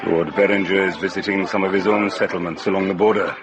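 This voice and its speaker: Medieval prince, played by a male actor, radio drama: low, genial, unhurried lordly delivery against music. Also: fairly even intonation, natural voice. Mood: wry. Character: genial, self-assured medieval Welsh prince. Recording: loud music and crowd behind. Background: music and crowd sound.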